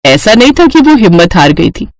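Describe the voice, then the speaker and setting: Woman, story narrator, scripted delivery, expressive pitch, dry sound. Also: a little fast, no echo.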